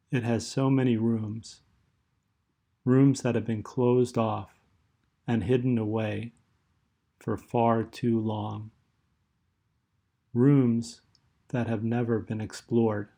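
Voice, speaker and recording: A man leading a guided meditation in a soothing, measured way, leaving long pauses as he speaks. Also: mid-range voice, little pitch movement, creaky, dark tone.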